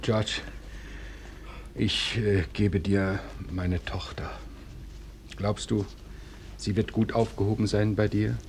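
An actor playing a gentle, flustered middle-aged father of a bride in a radio play, soothing and hesitant. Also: lowish voice, little pitch movement, slow, long pauses, a little hiss or hum. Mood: solemn, hesitant. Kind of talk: German radio play acting.

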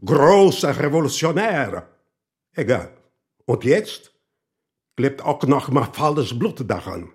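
Bitter old activist, slow and swooping. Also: male voice, mid-range voice, very animated. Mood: bitter, scornful. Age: older. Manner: scornful, emphatic. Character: bitter, indignant old male former revolutionary activist. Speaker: murder suspect. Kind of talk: radio drama acting.

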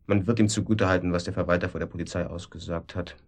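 An actor playing a domineering, controlling man in a radio drama, pressing with questions and swinging between sharp and soothing. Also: sits low, steady tone, quick pace, lightly acted. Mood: reassuring. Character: domineering, controlling man, cynical and coaxing, hiding guilt. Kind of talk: naturalistic radio drama acting. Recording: studio radio play.

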